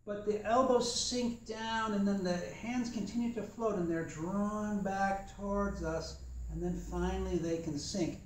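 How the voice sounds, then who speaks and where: A young man teaching a tai chi class in a dojo while he demonstrates, talking in a relaxed, thoughtful way. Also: sits high, unhurried, a little hiss or hum. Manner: relaxed, thoughtful, chatty with fillers. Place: large empty dojo with mat floor. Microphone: far camera. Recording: echoey room from a distance.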